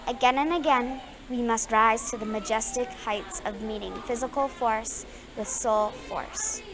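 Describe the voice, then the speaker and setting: Casting: female student, voice a bit high, reciting a formal speech from text. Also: lively intonation, measured pace, rough recording. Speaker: student reader. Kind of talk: reading a speech aloud.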